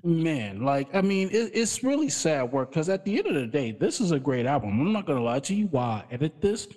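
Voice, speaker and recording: Man, podcast co-host, fairly high voice, very lively pitch, casual swearing, long reverb. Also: brisk. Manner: agreeable, animated, swears casually.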